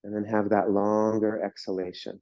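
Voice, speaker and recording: A man guiding a yoga class through slow breathing and relaxation, speaking in calm, soothing instructions. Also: lowish voice, no room sound, dull audio. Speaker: yoga teacher.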